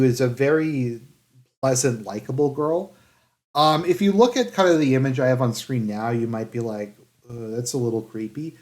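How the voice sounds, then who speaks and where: Man giving halting, casual review commentary. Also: medium pitch, a little mellow. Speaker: anime reviewer.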